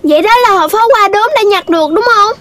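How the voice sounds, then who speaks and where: A woman's voice: extremely high pitch, fast talker. A woman voicing a small, earnest animal child in a children's cartoon, inquisitive and childlike. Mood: concerned. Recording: slightly distant voice with music behind.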